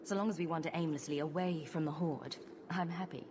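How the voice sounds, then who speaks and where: A woman's voice: sits low, steady tone, a little fast, noisy background, close to an everyday voice. A woman playing a sarcastic, unflappable rogue heroine in a video game, with dry, wry humour. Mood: dryly wry. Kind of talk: video game cutscene acting.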